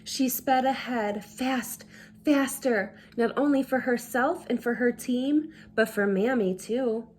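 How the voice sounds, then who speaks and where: A woman reads a children's story aloud in a fairly high voice. She takes her time, going very slowly through each phrase. Her delivery is expressive and deliberate, shaped for dramatic storytelling. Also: a little hiss or hum. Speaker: storyteller reading a children's book. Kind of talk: expressive read-aloud narration.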